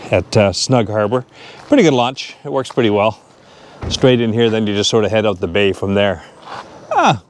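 A man's voice: mid-range voice, slight background noise. A man in his fifties narrating a casual outdoor vlog in a chatty, joking way, recorded on a lapel mic outside. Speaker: vlogger. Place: outdoors at a boat launch and gas station. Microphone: lapel mic clipped to shirt.

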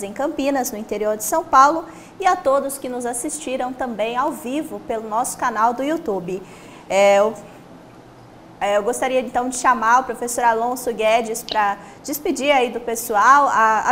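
A young woman with a fairly high voice and a Brazilian Portuguese accent hosts a studio talk show. She thanks guests and announces the next show politely and formally. A lapel mic picks up faint noise behind her. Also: a bit bright. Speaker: host. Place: studio set.

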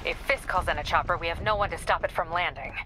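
A woman's voice: on the low side, fast, close to an everyday voice, low-quality recording. A woman voicing a no-nonsense police captain in a video game, dry and businesslike over comms. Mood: terse, worried. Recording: music and action sounds behind.

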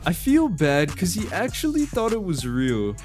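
The high, lively male narrator you would hear over music in an online compilation of short viral clips. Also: moderate pace. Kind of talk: scripted reaction narration.

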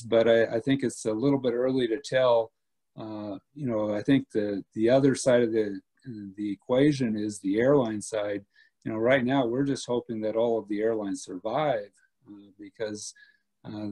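A man in his fifties, an airport director, answering questions over a video call in a steady, measured way with many pauses and "uh"s. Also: medium pitch. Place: home room indoors. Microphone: laptop webcam on video call.

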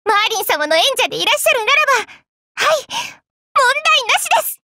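A woman voicing an excitable young female knight, a gushing and earnest fangirl in an anime game. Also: extremely high pitch, a little fast, dry recording, clean studio sound, exaggerated voice. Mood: proud, earnest. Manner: gushing, earnest, polite, exclamatory. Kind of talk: Japanese anime game character voice acting.

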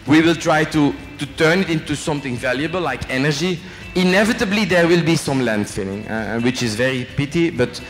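Man, middle-aged, from Belgium, French accent, chatty joking lecturer, handheld mic, reverberant hall. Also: on the high side. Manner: chatty, joking, asks the audience questions, adds "eh?" tags. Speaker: company executive giving a guest lecture. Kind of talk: informal business talk to students. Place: large hotel function room with a student audience. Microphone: handheld microphone. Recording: long reverb in a big hall, faint music.

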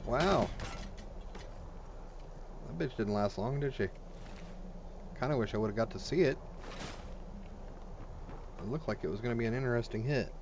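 A man's voice: medium pitch, animated, normal speed, gravelly, pauses often, muffled recording. Casual gamer guy over noisy game audio. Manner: informal, crude, thinking aloud. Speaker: gaming video host. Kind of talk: casual let's play commentary. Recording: game ambience and noise behind voice. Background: video game sounds.

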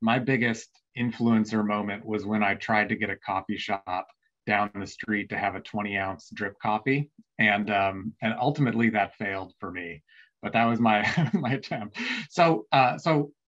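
A man in his forties hosting a guest over a video call, friendly and a little halting, with restarts. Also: lowish voice, a bit bright. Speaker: host, marketing executive. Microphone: webcam video call. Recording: dull lo-fi video call audio.

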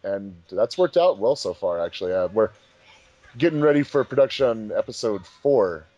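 A man's voice: lowish voice, expressive, normal speed, warm tone, highs cut off. A man hosting a commentary track in casual, chatty speech. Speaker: fandub director and commentary host.

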